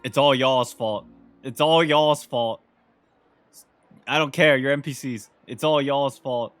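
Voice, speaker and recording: A man's voice: medium pitch, fairly even intonation, slow, a bit bright, dry recording. A man giving casual, slangy, reactive commentary as a gaming streamer during a playthrough. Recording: game music behind the voice.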